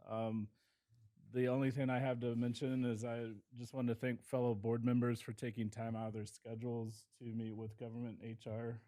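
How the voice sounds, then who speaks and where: A man chairing a board meeting in a mellow, mid-pitched voice, moving item to item with frequent ums and uhs. The sound is dry and close. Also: no echo. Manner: hesitant, with many fillers. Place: meeting room.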